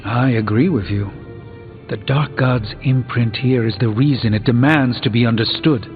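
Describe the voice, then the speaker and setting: An actor playing a cautious, scholarly male sorcerer in a video game, measured and articulate, with uneasy dry wit. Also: medium pitch, close to an everyday voice. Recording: muffled narrow-band sound with long reverb. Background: music.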